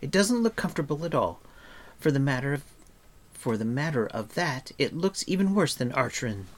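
A man's voice: mid-range voice, sing-song, normal speed, voice pushed up. A man reading a storybook as an outspoken young girl, chatty and matter-of-fact with tart opinions.

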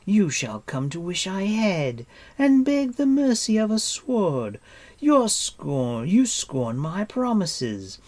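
A cruel enchantress queen from a fantasy storybook, acted out by a narrator. The voice is raised high and drawn out, often slipping toward a breathy hush. It is imperious, coaxing and full of menace. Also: very animated, slow, exaggerated voice. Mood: furious. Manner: gentle coaxing turning to menacing hiss. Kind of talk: audiobook character voice.

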